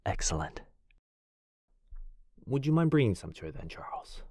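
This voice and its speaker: A man voicing a gentle, courtly male vampire lord in an ASMR boyfriend roleplay. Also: fairly low pitch, big pitch swings, normal speed, husky, no echo, clean studio sound. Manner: soft-spoken, courtly and reassuring. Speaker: voice actor.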